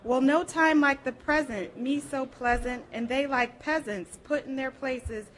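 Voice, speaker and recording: A woman with a high voice performs a literary reading aloud. Her pace is unhurried, and she leans into the rhythm of the words with theatrical expression. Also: a bit bright, low-quality recording. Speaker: reader at a public library program. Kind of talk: expressive live reading of poetry and memoir.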